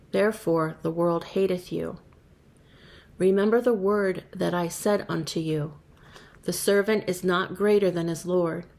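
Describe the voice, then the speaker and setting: A woman with a fairly low voice reads scripture aloud at a measured, steady pace. Her delivery is even and careful, giving each archaic phrase its own space. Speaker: scripture reader. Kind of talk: scripture reading.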